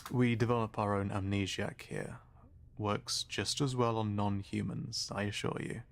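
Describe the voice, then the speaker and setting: A slow, coldly polite male scientist interrogator, put on by a man running a tabletop game. The voice sits low and gravelly. It drags each word out with soft, quiet menace. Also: fairly even intonation, slight background noise. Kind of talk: voicing an NPC in a tabletop game.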